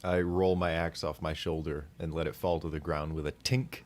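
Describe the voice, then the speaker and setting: A man's voice: a bass voice, level tone, moderate pace. A man taking part in an improvised tabletop game as a player, role-playing casually.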